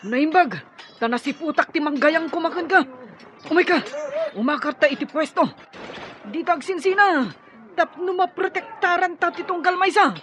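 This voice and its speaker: A woman's voice: very high-pitched, moderate pace, crowd noise. A woman playing a loyal young tribeswoman warrior in an Ilocano-accented radio drama, speaking earnestly and dramatically. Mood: protective. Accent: Filipino, Ilocano.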